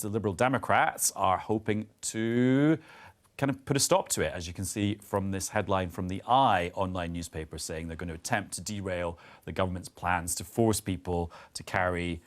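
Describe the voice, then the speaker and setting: Male host, low voice, brisk and wry, moderating a studio political debate. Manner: brisk, wry, steering the panel.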